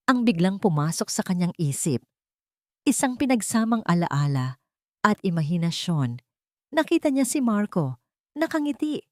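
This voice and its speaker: A woman's voice: lowish voice, darkish tone, no echo, studio quality. A woman narrator reading a scripted audio drama in a dramatic storytelling style.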